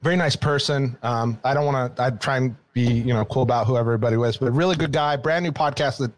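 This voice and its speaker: A man's voice: mid-range voice, fast talker. A man co-hosting a podcast in casual chat, rambling and self-deprecating as he tells stories. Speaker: podcast co-host. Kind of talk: casual banter and storytelling.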